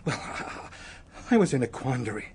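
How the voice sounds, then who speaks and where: A man's voice: medium pitch, very animated, measured pace, a little mellow, husky, full character voice. An actor in a radio drama playing an indignant, frightened man who defends himself emphatically under police questioning. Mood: defensive, nervous.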